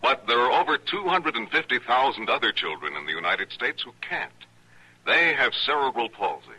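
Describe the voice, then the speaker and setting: Announcer, male: very bright, projecting, husky-edged, lively inflection, earnest public service read on old radio. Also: mid-range voice, rough recording. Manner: earnest, deliberate. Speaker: charity appeal announcer.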